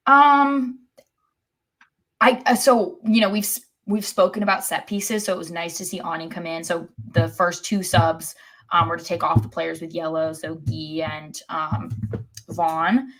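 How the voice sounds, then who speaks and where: A woman co-hosting a podcast, giving casual soccer analysis in a measured, hesitant way as she thinks aloud. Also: on the low side, flat delivery, a bit bright. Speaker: podcast co-host.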